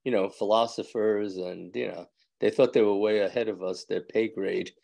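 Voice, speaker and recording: A man's voice: lowish voice, fairly even intonation, moderate pace, gravelly, warm tone. An older man with a New York accent, a rabbi chatting and joking with his class on a video call, rambling and laughing often. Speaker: rabbi teaching an evening class. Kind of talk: casual chat with class members. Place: indoors at home. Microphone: video call from a phone or webcam.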